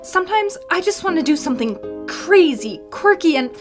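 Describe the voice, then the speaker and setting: A woman's voice: very high-pitched, lively intonation, normal speed, over music. A woman acting in an audio drama as a shy, sweet secretary with a mischievous, quirky streak.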